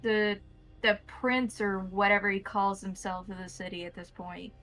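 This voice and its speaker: Woman playing a frustrated, bright-voiced captive vampire woman. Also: medium pitch, little pitch movement, moderate pace, faint music behind, natural voice. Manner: rambling, mouthy, pleading. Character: captured female wandering vampire, frustrated, wary, bargaining.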